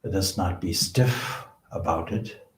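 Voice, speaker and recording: A man leading a guided meditation as a spiritual teacher, speaking in measured short phrases with pauses between them. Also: deep voice. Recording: echoey room.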